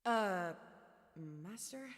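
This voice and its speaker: A woman's voice: on the high side, drawn-out words. A woman playing a fiery, haughty, devoted young demon bride in an audio drama, in long reverb. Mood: teasing. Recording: long hall reverb, music underneath.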